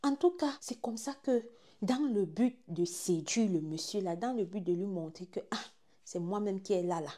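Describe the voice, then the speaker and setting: A Gabonese woman in her twenties with a Gabonese French accent, telling a personal story dramatically, dropping to hushed asides and rising to excited exclaims. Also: mid-range voice, moderate pace. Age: 20s. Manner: animated, swings from hushed asides to loud exclaims, repeats phrases.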